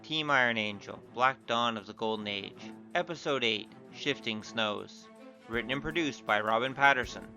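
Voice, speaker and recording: A man's voice: mid-range voice, normal speed, pushed and bright. A man reading scripted credits as the announcer of an audio drama. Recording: music playing under the voice.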